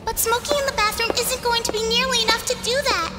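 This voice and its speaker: A woman's voice: very high voice, steady tone, fast. A woman playing a bratty, scornful little girl in a radio play, with mocking, childish name-calling. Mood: scornful, hurt. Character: taunting little schoolgirl, bratty and scornful, on a stage. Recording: long hall reverb, music behind.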